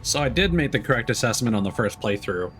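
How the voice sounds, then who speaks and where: A man's voice: mid-range voice, animated, quick pace, fairly bright tone, faint music behind. A man giving casual, offhand and wry live commentary as he streams a video game.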